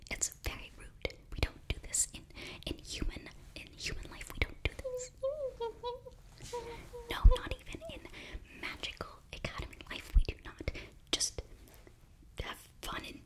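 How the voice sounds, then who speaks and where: Young woman, close-miked whisper for fantasy ASMR roleplay; flustered, halting, apologetic student sorceress. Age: young adult. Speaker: ASMR performer. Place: small indoor bookshelf set. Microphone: very close ear-to-ear microphone.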